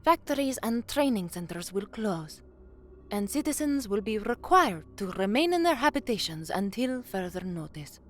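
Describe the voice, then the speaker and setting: An actress playing a young newsreader in a science fiction audio drama, weary and anxious. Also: female voice, mid-range voice, sing-song, normal speed, faint music behind, close to an everyday voice. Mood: formal newsreading. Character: young slum-born newsreader, anxious, weary, hard-drinking narrator. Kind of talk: audio drama first-person narration and newsreading.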